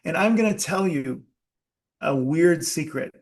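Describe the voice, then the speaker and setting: Workshop leader, male, slightly high voice, slow deliberate delivery, quizzes the room. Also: animated. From United States. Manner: slow, emphatic, asks the audience questions. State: sweating. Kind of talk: live group workshop talk.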